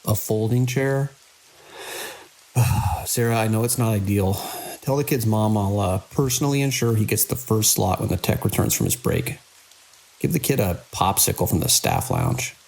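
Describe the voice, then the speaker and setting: A man playing a weary, caring middle-aged hospital director in an audio drama, measured and dry with small hesitant pauses. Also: lowish voice, big pitch swings, vocal fry, darkish tone, low-quality recording. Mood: tired, reassuring.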